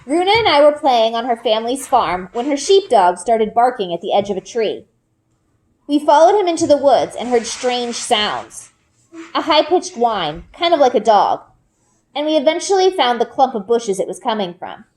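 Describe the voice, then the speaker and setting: High, animated woman reading a storybook. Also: low-quality recording. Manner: storytelling, dramatic pauses and emphasis. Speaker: teacher reading aloud to students. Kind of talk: expressive children's book narration.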